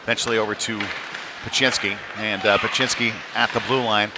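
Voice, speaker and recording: A man's voice: on the low side, fairly even intonation, brisk, over a noisy crowd. A man giving casual, unpolished live play-by-play commentary on a youth hockey game, his delivery rising with the action. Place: cold ice rink during a live stream. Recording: clear venue noise behind the voice.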